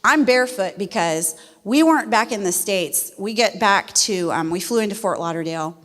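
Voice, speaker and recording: A middle-aged woman from West Virginia, a missionary speaking to a church congregation in a folksy, animated way. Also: medium pitch, normal speed. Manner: folksy and animated, laughs easily. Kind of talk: church testimony talk. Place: church sanctuary. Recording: long reverb of a church hall.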